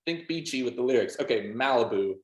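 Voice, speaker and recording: Dude from a band chatting, says like and literally all the time, echoey room. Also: male voice, mid-range voice, animated, fairly quick. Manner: emphatic, heavy use of "like" and "literally". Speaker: band musician being interviewed. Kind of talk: casual interview chat. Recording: roomy, echoey sound.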